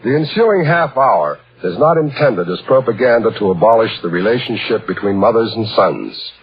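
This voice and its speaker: A man hosting a vintage radio drama with an ornate, pompous scripted introduction in measured oratory. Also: fairly low pitch, animated, husky. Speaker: radio show host introducing the story. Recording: muffled old radio broadcast, music behind.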